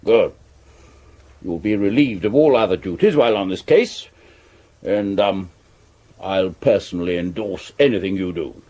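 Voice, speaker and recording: An actor playing a brusque, pompous Victorian police commissioner with an upper-class English accent in a radio drama. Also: male voice, deep voice, a little slow, long pauses, warm tone. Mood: brisk command. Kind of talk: 1970s radio drama acting.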